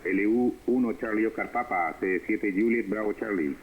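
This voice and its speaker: A man's voice: fairly low pitch, normal speed. A man talking casually as an amateur operator over a shortwave radio. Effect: shortwave radio transmission. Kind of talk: casual ham radio exchange. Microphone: homemade microphone. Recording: noisy narrow-band shortwave radio reception.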